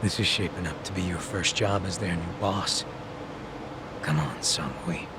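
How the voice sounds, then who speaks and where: An actor playing a streetwise, principled former gang boss in a video game, casual and persuasive over background noise. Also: male voice, sits low, measured pace. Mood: firm, persuasive. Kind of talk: English video game voice acting.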